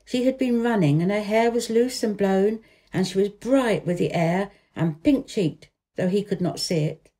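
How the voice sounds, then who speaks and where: A woman's voice: medium pitch, normal speed, dark tone. A woman reading a children's novel aloud as a storybook narrator.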